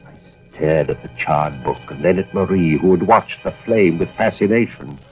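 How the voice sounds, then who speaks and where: An actor playing a weary, anxious husband who narrates a suspense tale in measured, dramatic 1940s-50s radio style. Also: male voice, deep voice, expressive, a little mellow. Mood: tense, brooding. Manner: measured, dramatic first-person storytelling. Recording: muffled vintage radio recording with music.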